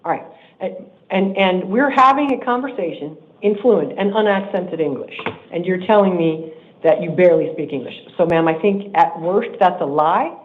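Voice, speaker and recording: A man acting as judge in a court hearing. He speaks in a stern, measured way and repeats himself when he is pressing a point. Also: high-pitched, a little hiss or hum. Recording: tinny court livestream audio.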